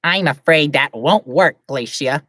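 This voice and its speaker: A woman voicing a grave, superior guardian angel who speaks slowly and solemnly. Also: deep voice, fairly bright tone, no echo, clean studio sound. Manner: slow, solemn.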